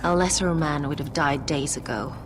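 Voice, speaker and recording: A woman playing a calm, persuasive forest leader. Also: low-pitched, moderate pace, noisy background, close to an everyday voice. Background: music.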